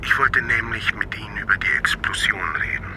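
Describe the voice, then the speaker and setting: An actor playing a calm police inspector who asks quiet, probing questions. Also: male voice, on the low side, a little fast, very noisy, natural voice. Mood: questioning. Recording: loud music underneath.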